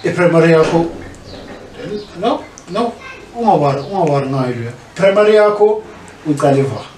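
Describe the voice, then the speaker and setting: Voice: mid-range voice, lively intonation, moderate pace, a little mellow, recorded from a distance. The kind of South African host you would hear on a small homemade studio chat show, informal and rambling, a little away from the mic in a roomy space. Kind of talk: casual chat interview, mixing English with local language. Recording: roomy echo, off-mic, faint noise.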